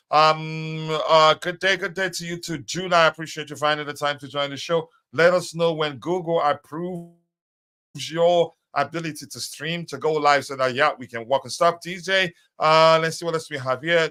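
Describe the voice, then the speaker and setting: Bright-voiced male stream host. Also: on the high side. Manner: chatty, full of fillers, greets viewers by name. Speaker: host of a live fan stream. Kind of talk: casual live-stream chat.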